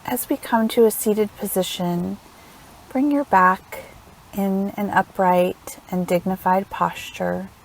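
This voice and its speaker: A woman leading a guided meditation in a gentle, soothing manner, unhurried and leaving long pauses between phrases. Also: mid-range voice, steady tone, gravelly, a little hiss or hum, low-quality recording. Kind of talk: guided meditation, slow instructional narration.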